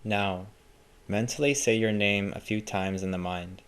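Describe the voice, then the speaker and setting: Low-pitched man guiding a meditation. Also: clear, resonant tone. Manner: calm, measured, gently instructive. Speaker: meditation guide. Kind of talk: guided meditation, scripted instruction.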